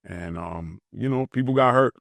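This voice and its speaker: A man's voice: medium pitch, moderate pace, vocal fry, a bit bright, no echo. New man, guest, blunt streetwise storyteller, dry close sound. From New York City. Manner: blunt, streetwise slang, reflective. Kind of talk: candid conversational storytelling.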